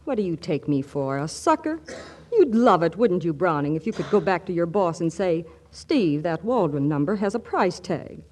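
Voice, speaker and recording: Catty gold-digging model, sugary and mocking, lively pitch swings, vintage radio comedy. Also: female voice, moderate pace. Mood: smug, mocking. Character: sly, catty blonde model, sweetly condescending gold digger. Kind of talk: 1940s radio comedy acting.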